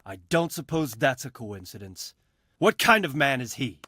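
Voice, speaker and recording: A man's voice: medium pitch, sing-song, normal speed, bright, forceful tone, husky, dry recording, clean studio sound, full character voice. A man acting a shrewd, resolute castle lord in a medieval video game. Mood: questioning.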